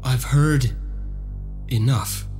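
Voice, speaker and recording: A man playing an earnest, determined heroic fighter in a video game cutscene, speaking with measured solemnity. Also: medium pitch, darkish tone. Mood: earnest, compassionate. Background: background music.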